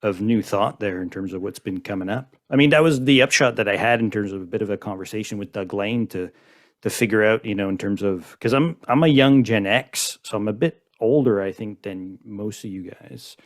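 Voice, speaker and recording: A man's voice: fairly low pitch, a little fast. A man in his forties from Montreal hosting a casual podcast conversation, talking in long, rambling sentences full of restarts. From Montreal, Canada. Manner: rambling, many "I mean" restarts. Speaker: podcast host.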